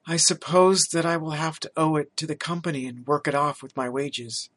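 An earnest young gentleman from a storybook, polite and given to small stammers, as a man reads him. The voice lifts a little higher than plain speech and stays bright and forceful. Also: fairly even intonation, moderate pace. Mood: apologetic.

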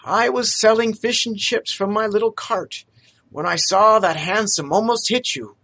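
A man voicing a humble, grateful young street vendor in a storybook reading, with a high, eager voice. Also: moderate pace, bright, forceful tone, heavily acted.

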